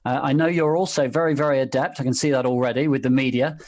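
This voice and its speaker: A man's voice: medium pitch, steady tone, quick pace, a little mellow. A middle-aged man hosts a casual interview over a video call. He is friendly and self-deprecating, often stopping and restarting his sentences as he asks his questions. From United Kingdom. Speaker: interviewer, host.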